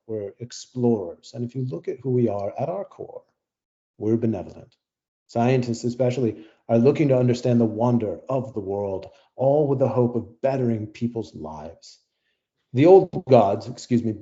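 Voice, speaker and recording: An actor playing a smug, zealous scientist who champions AI in an audio play debate, with a lofty, dismissive manner. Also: male voice, medium pitch, dark tone, muffled recording, natural voice. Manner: lofty, dismissive, self-assured.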